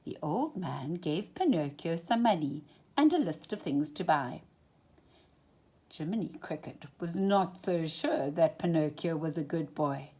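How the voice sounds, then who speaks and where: A woman storyteller reading a children's storybook expressively, with a deliberate, dramatic delivery that moves between full voice and hushed phrasing. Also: low-pitched, lively intonation, breathy edge.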